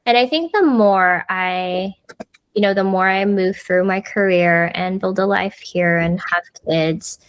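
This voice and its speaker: A woman's voice: mid-range voice, measured pace, smooth, no echo, muffled recording. A woman, a podcast guest talking casually with lots of fillers. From United States. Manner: chatty, lots of fillers like "like" and "you know". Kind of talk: casual conversational interview.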